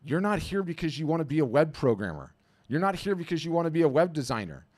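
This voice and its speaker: A man's voice: fairly high pitch, very animated, fast talker, a little mellow, no echo. A middle-aged man hosting a live business webinar, talking emphatically and hammering points home with repetition. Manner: emphatic, preachy, repeats phrases for punch.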